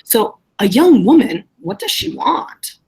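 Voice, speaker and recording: Husky-voiced woman reading a play aloud. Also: high voice, sing-song, slow pace, darkish tone. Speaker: teacher leading a reader's theatre class. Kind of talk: reading a play script aloud and directing readers.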